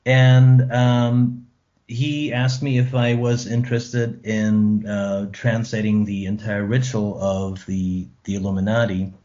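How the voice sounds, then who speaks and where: Slow-spoken German-born man, hesitant. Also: on the low side, flat delivery, dark tone, lo-fi sound. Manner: thoughtful, hesitant with many ums. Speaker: author and translator, guest. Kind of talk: radio interview.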